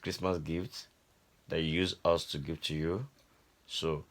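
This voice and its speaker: An older man with a Nigerian accent speaks in a low, deep register. He teaches like a grandfather at home, exhorting and circling back over his points. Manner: repetitive, exhorting. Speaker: grandfather teaching a Bible story. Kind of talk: informal family Bible teaching.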